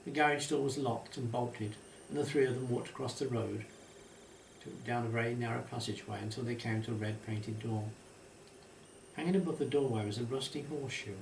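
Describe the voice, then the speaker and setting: A man's voice: medium pitch, steady tone, fairly quick, gravelly, a little hiss or hum. A man reading his own nostalgic story as scripted storybook narration, in a roomy, slightly distant recording. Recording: roomy, echoey, distant, music behind. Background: music.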